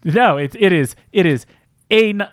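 Lively, higher-pitched American man. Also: measured pace, no echo, studio quality. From Utah, United States. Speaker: podcast co-host. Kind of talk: casual joking chat.

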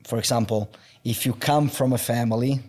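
Reflective Italian-accented man, halting speech. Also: mid-range voice, a little mellow. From Italy. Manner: halting, many restarts and "like" fillers, open and reflective. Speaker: guest. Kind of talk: candid personal conversation.

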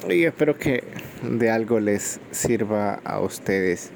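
A man's voice: on the low side, lively intonation, takes it slowly, gravelly, a little hiss or hum. A Salvadoran man with a Salvadoran Spanish accent hosting an unscripted solo podcast, rambling with frequent fillers, recorded on a phone microphone. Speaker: podcast host.

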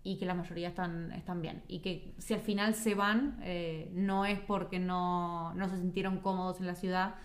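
A woman's voice: on the low side, little pitch movement, moderate pace, hardly any pauses. A woman from Uruguay with a Uruguayan accent, talking casually as an interview guest. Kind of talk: casual chat.

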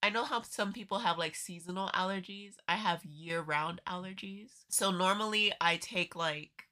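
A woman's voice: mid-range voice, moderate pace, bright, forceful tone. A woman podcast co-host chatting casually, congested and nasal from allergies, laughing often. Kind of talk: casual chat.

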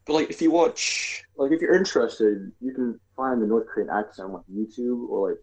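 A man's voice: medium pitch, fairly quick, a little mellow, low-quality recording. A man co-hosting a podcast, talking casually in a rambling way with lots of fillers and hedges. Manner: rambling, filler-heavy, hedging. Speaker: podcast co-host. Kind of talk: casual chat.

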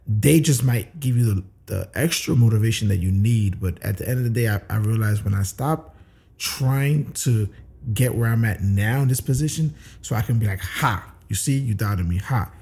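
New man, podcast guest, low dark voice, relaxed pace with "uh"s. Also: normal speed, vocal fry, slightly rough. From New York. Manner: easygoing, with many "uh" fillers. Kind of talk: casual interview chat.

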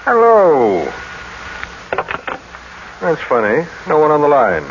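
Male radio-drama husband: measured, polite, suspicious, very expressive, on a noisy vintage recording. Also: a little high, highs cut off, heavily acted. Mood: puzzled. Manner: measured, pointedly polite, insistent. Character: self-made businessman husband, outwardly genial, quietly suspicious. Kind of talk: 1940s radio drama acting.

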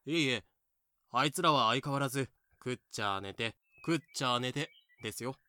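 A man playing a blunt, gruffly caring plantation overseer in a fan audio drama. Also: medium pitch, normal speed, background noise, lightly acted. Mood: deferential, weary. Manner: rough, blunt masculine speech. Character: rough plantation overseer, gruffly caring, deferential to his mistress.

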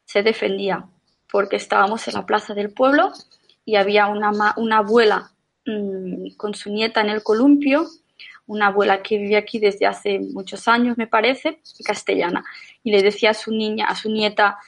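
Chatty woman, gravelly voice. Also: mid-range voice. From Menorca. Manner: chatty, with frequent restarts and fillers. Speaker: podcast guest. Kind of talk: casual conversation.

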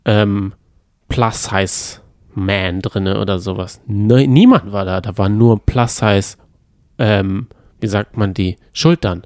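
A man's voice: on the low side, takes it slowly, a little mellow, no echo. Man chatting casually, dry close sound. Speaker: podcast co-host. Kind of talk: casual chat about reality TV. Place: hotel room.

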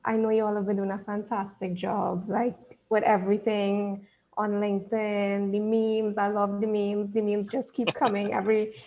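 A woman's voice: mid-range voice, little pitch movement, normal speed, lo-fi sound. A woman with a Trinidadian accent, a podcast guest and business owner, chatting cheerfully and laughing often. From Trinidad and Tobago. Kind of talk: casual interview chat.

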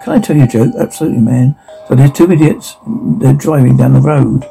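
A man playing a manic, jeering killer who jokes darkly and taunts in profane dark-comedy thriller dialogue. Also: mid-range voice, brisk, gravelly, faint music behind, rough recording. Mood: darkly playful.

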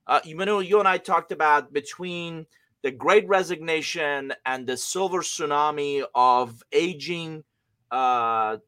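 A man's voice: a little high, sharp tone, dry recording. A man hosting a business podcast, friendly and upbeat as he welcomes a guest and asks questions. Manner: upbeat and friendly, polished interviewer. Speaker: podcast host.

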